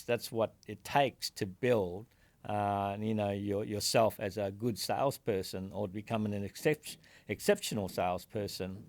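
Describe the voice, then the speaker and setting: A middle-aged man with a fairly low voice hosts a podcast. His speech halts, with frequent fillers and restarts. The recording is dry and close. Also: a little mellow, dry recording. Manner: halting, heavy with fillers and restarts. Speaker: podcast host. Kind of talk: podcast interview.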